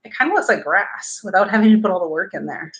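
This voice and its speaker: A woman hosting a casual painting stream, calmly explaining her technique as she works. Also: medium pitch, fast. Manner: relaxed, explains technique while working, hums. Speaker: painting livestream host.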